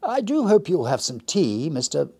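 A fussy, genteel older lady with a queer husky little voice, as a male reader puts her on, faint and hesitant in a storybook reading. Her pitch sits far above the reader's own, with a rough, gravelly edge. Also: huge pitch swings, normal speed, warm tone, heavily acted. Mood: fretful.

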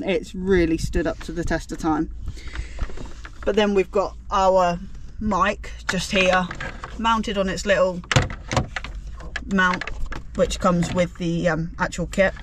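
A woman's voice: fairly low pitch, steady tone, measured pace. A young British woman giving a casual, jokey hands-on tutorial with playful, self-deprecating slang. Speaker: vlogger presenting a car DIY guide. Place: residential street, outside and inside a car. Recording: noisy outdoor car recording.

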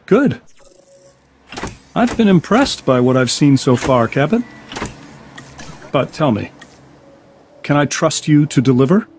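An actor playing a cool, menacing, commanding trade boss in a video game, speaking in a measured, threatening way. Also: male voice, fairly high pitch, big pitch swings, a little hiss or hum. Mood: cool approval.

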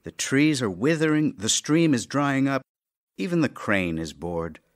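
An actor playing a witty, weary, affectionate middle-aged playwright in an audio drama of love letters, with a dry, teasing, melancholy and theatrical manner. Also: male voice, medium pitch, big pitch swings, measured pace. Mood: tender, gloomy.

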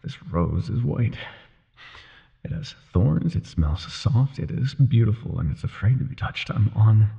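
A man playing an older ex-mafia boyfriend, wry, tender and self-doubting, with a husky, breathy voice in an intimate roleplay audio. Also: lowish voice, big pitch swings, measured pace, warm tone, dull audio, full character voice. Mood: panicked, fragile.

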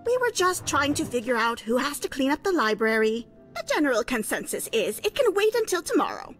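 High, breezy cartoon character. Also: female voice, very animated, fairly quick, faint music behind. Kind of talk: video game cutscene acting.

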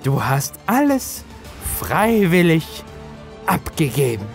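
Sinister, drawling, high-pitched mocking villain. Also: male voice, very animated, vocal fry, soft background music, heavily acted. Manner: slow, drawn-out, taunting delivery with cackles. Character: sinister mocking older man, gloating manipulative dealmaker with supernatural power. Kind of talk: horror story reading.

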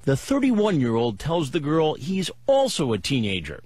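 A man's voice: on the high side, huge pitch swings, normal speed, darkish tone, breathy edge, no room sound. A man narrating a TV investigative program in a wry, matter-of-fact scripted voice-over.

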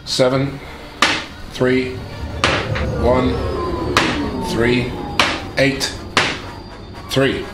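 A male tabletop player with a middling pitch. He talks casually through his moves and dice rolls while music plays loudly behind him. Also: flat delivery, extremely slow, long pauses. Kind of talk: casual game talk.

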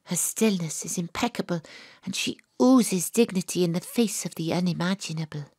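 A woman's voice: lowish voice, moderate pace, a little mellow, breathy edge, no echo. A woman playing a wry, world-weary private detective who narrates her own story in a confiding way in an audio drama. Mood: gentle. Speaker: narrator and lead character.

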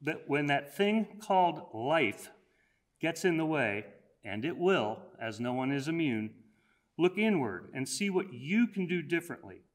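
An older man at a podium delivering a prepared commencement address, measured and earnest, slowing down on key phrases. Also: fairly high pitch, expressive. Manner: measured, earnest, slows down for emphasis. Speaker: college president giving commencement address. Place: college hall, at a podium.

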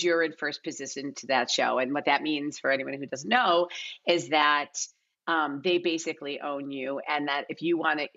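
A woman's voice: low-pitched, fairly bright tone, dull audio. A middle-aged woman speaking casually as an interview guest, thinking aloud and repeating phrases as she explains. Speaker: casting director, podcast guest. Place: bright living room at home, indoors.

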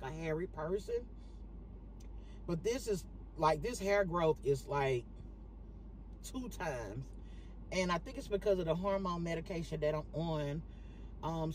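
A woman chatting casually to her viewers in a vlog, animated and talking straight to the camera from a parked car on her phone. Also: lowish voice, a little hiss or hum. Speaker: vlogger.

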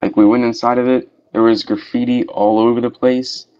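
A man's voice: medium pitch, level tone, fairly quick, warm tone. A young man casually telling a story in a voice chat, easygoing and slangy. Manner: easygoing, slangy, says "dude" and "bro". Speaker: voice chat participant. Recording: muffled lo-fi voice chat mic.

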